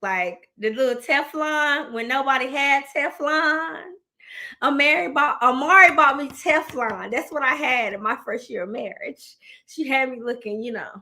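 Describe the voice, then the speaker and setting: Woman, thirties, show host, bright forceful voice, excitable, chatty, slangy, laughs often. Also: high voice, a little slow. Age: 30s. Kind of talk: casual chat show hosting.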